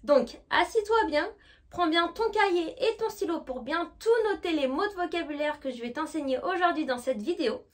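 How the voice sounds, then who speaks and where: High-voiced French woman teaching English. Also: lively intonation. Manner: friendly, direct, addresses viewer informally, slows down to model English words. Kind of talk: online language lesson.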